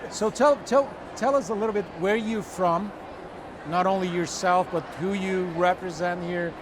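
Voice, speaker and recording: A man's voice: high-pitched, fairly even intonation, moderate pace. A man hosting an interview at a trade show, asking questions with frequent repeated words and restarts. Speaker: interview host. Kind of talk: conversational interview. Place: busy trade show floor. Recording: noisy trade show floor.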